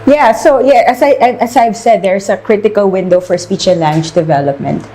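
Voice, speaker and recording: A woman's voice: mid-range voice, brisk, dark tone. A woman with a Filipino accent, speaking as an interviewed therapist, explaining things in conversation and mixing in Tagalog words. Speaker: speech therapist being interviewed.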